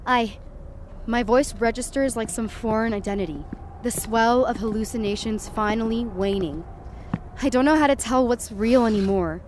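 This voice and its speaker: Actress as frightened young woman, audio horror drama, first-person narration, rather high pitch, intense, dazed, noisy ambience. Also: normal speed, close to an everyday voice. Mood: shaken, subdued. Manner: intense, dramatic, swinging from hushed to urgent.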